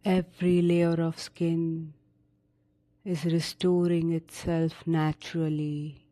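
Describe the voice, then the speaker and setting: A woman narrating a guided sleep meditation in a soothing, unhurried manner with long pauses between short instructions. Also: low-pitched, flat delivery, mellow.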